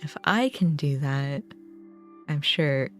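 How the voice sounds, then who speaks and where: A woman's voice: low voice, animated, slow pace, a bit bright, soft background music. A woman livestreamer chatting casually with viewers in a rambling, self-deprecating way. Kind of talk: casual gaming stream chat.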